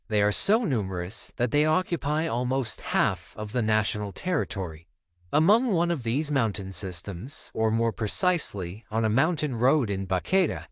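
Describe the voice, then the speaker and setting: Man narrating with lively, dramatic pitch swings. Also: dry recording. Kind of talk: scripted documentary-style narration for a video countdown.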